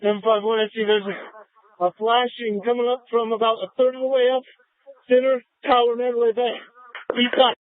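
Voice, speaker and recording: A man speaks as a police officer in urgent emergency radio traffic. His voice is high for a man and comes through a thin, narrowband radio channel. The delivery is clipped, and the sound is dry. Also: flat delivery, moderate pace, dry recording.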